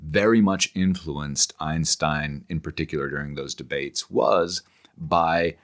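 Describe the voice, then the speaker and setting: Male interview guest, extremely low voice, erudite and digressive, thinking aloud in halting intellectual conversation. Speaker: interview guest, scholar and author.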